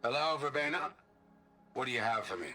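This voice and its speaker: A man voicing a cold, condescending commander in a video game, with measured, menacing politeness. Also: mid-range voice, expressive, bright, forceful tone, soft background music. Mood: coolly threatening. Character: cold, condescending male commander demanding results.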